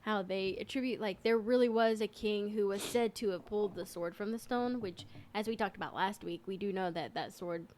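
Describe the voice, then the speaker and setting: A woman's voice: medium pitch, a little fast, hardly any pauses, a little mellow, slight background noise. A woman co-hosting a podcast, explaining things in an earnest, informal storytelling way. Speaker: podcast co-host. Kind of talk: casual conversational explaining.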